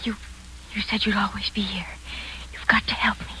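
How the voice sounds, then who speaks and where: A woman's voice: mid-range voice, little pitch movement, moderate pace, sharp tone, whispered, close to an everyday voice, rough recording. An actress playing a frightened young woman held captive, pleading urgently, in 1940s radio acting style. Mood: desperate whisper. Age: young adult. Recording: hissy old radio broadcast.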